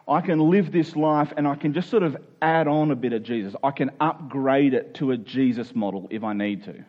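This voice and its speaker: A man's voice: mid-range voice, normal speed. A man preaching in an informal, conversational way, as a pastor speaking to his church. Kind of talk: conversational sermon with everyday illustrations, prayer and scripture reading. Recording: dull, lo-fi sermon recording.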